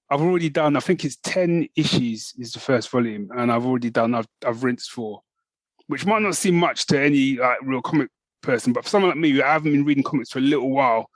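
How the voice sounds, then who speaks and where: A man's voice: mid-range voice, brisk, no room sound. A man co-hosting a podcast, chatting casually and rambling with restarts. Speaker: podcast co-host. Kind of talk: casual chat.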